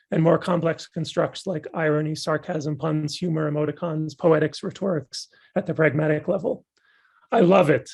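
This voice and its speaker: A man losing his voice, thoughtfully and enthusiastically answering audience questions after giving a talk. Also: fairly high pitch. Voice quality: gravelly and husky. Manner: thoughtful, enthusiastic academic explaining. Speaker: guest speaker.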